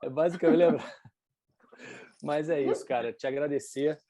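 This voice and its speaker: A man's voice: fairly high pitch, moderate pace, mellow, dry recording, studio quality. A man in his forties with a Brazilian Portuguese accent, hosting an event in informal, slangy casual chat. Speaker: event host.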